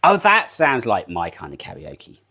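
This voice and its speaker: A man's voice: on the low side, wildly swooping pitch, moderate pace, pushed and bright, husky. British male streamer, cozy rambling chat with chat, bouncy up-and-down delivery, frequent laughs, home kitchen. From United Kingdom. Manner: rambling, chatty, laughs often, answers chat. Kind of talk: casual live-stream chat. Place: home kitchen while baking.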